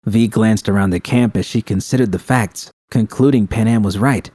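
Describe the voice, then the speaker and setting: A man's voice: on the low side, normal speed, mellow, dry recording, clean studio sound. A man reading scripted fiction as the narrator.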